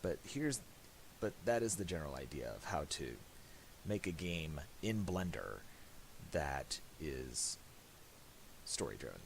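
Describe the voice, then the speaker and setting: Man, slow pace, lively swings in pitch, casual tutorial, halting mumble, faint hiss and clicks. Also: creaky. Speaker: tutorial presenter. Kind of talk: casual screen-recorded software tutorial. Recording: hissy home recording with clicks.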